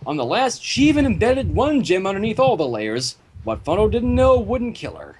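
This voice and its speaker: A man's voice: sits high, sing-song, moderate pace, darkish tone, a little hiss or hum. A man narrating a fan fiction story aloud, with casual asides, in a home recording.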